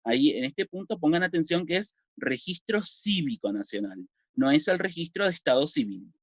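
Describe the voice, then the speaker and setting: A man's voice: fairly high pitch, animated, moderate pace, no room sound. A Uruguayan man teaching a recorded civics lesson, explaining step by step and asking and answering his own questions. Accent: Uruguayan Spanish. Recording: dull lo-fi dry recording.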